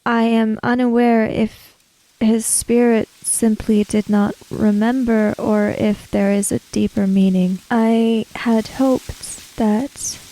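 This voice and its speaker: A woman roleplaying an earnest, questioning character in a samurai-era tabletop game, speaking in measured, formal sentences without contractions. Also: on the high side, little pitch movement, dark tone, no room sound, rough recording. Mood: thoughtful, puzzled.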